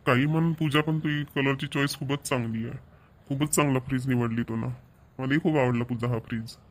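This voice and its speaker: A man's voice: medium pitch, steady tone, fairly quick, close to an everyday voice. A man voicing a young husband eager to please, with an agreeable, admiring manner.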